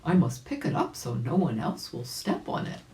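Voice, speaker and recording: Woman voicing a fussy old gentleman rabbit, swooping pitch. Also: very animated, moderate pace, a little hiss or hum. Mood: puzzled. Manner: old-fashioned, exclamatory speech. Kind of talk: children's storybook character voice. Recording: roomy, echoing home recording.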